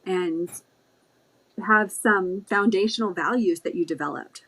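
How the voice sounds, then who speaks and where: A woman in a casual group podcast chat, warmly encouraging and speaking with many fillers. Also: fairly low pitch, unhurried, breathy edge, poor audio. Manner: encouraging, lots of affirming murmurs and fillers. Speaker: podcast guest.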